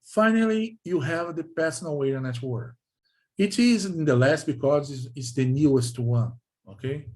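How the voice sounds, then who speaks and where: Brazilian man lecturing in halting English. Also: on the high side, darkish tone. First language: Portuguese. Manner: halting, repeats and checks with "okay?". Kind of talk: technical lecture in non-native English.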